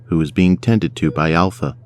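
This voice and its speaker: A man narrating a scripted audio drama in a measured, descriptive way. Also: low-pitched, darkish tone, slight background noise, natural voice.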